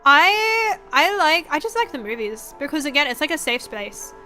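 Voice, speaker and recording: A young woman in her twenties with a high, bright voice chats casually on a livestream. She rambles loosely, swears freely and slips into animated quotes. Faint music plays behind her. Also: normal speed. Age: 20s. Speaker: streamer, VTuber host. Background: background music.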